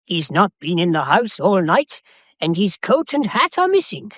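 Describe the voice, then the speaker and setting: An actress playing a proper, deferential English household servant with a formal, measured manner in a radio mystery drama. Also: female voice, lowish voice, big pitch swings, a bit bright, no echo. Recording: dull, muffled lo-fi audio.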